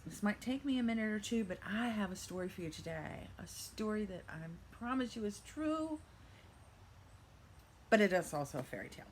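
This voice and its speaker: A woman's voice: mid-range voice, sing-song, moderate pace, slight background noise. An older woman telling a playful fairy-tale style story with theatrical narration and chatty asides. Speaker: vlogger telling a reselling story.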